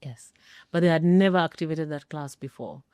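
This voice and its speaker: A middle-aged woman tells her story in an interview with a low, mellow voice. She speaks emphatically and pauses for effect. The sound is close and dry. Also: clear, resonant tone, no echo. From Kenya. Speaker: interview guest, former air traffic controller. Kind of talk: personal storytelling in a sit-down interview.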